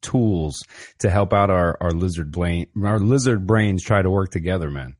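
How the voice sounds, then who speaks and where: A man's voice: deep voice, moderate pace, a little mellow. A man hosting a podcast in a laid-back, slangy way, often calling his guest "man" or "brother". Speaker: podcast host. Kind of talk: casual interview conversation.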